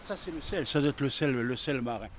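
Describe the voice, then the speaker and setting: A man's voice: medium pitch, brisk, noisy background, close to an everyday voice. A man playing a rambling, hot-tempered boat owner who talks with a strong accent in a comedy film. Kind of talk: burlesque comedy film acting.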